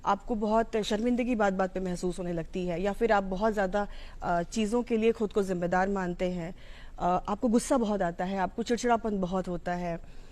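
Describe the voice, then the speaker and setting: A woman's voice: mid-range voice, steady tone, moderate pace, darkish tone. A woman with an Indian accent giving an informal, explanatory educational talk, mixing in English terms. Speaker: psychology educator.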